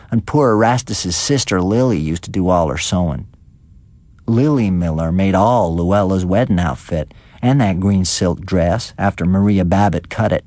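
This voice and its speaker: A man's voice: deep voice, darkish tone, dry recording, natural voice. Man voicing folksy, gossipy old village woman. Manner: folksy, rustic, gossipy dialect. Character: elderly unmarried village woman gossiping indignantly about neighbours. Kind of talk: dramatised short-story reading.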